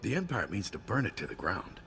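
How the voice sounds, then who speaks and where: A man's voice: lowish voice, big pitch swings, a little fast, a bit bright, soft background music. An actor playing an older retired man and community volunteer in a video game, speaking earnestly and persuasively. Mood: earnest urging.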